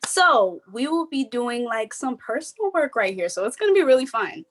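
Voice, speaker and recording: A woman's voice: a little high, sing-song, moderate pace. A twenty-year-old woman chatting casually as a panelist on a group call.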